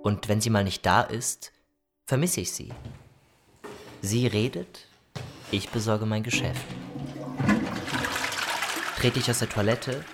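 A man narrating a literary story for a radio feature in a measured storytelling manner. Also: lowish voice. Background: music.

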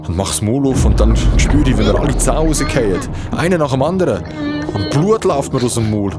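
A man's voice: mid-range voice, animated, fairly quick, lightly acted. An actor with a Swiss German accent playing a shaken, foul-mouthed young man recounting his ordeal in an audio drama. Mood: shaken, haunted. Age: young adult. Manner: colloquial, swearing, dramatic. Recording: music behind the voice.

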